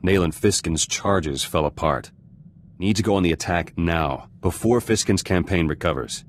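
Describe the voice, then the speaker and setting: A man's voice: low-pitched, normal speed, slight background noise, natural voice. A man playing an embittered, vengeful resistance fighter in a video game, speaking in terse, clipped fragments with dropped words. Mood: terse, guarded. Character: embittered male resistance fighter turned politician, hard and vengeful. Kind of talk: video game dramatic voice acting.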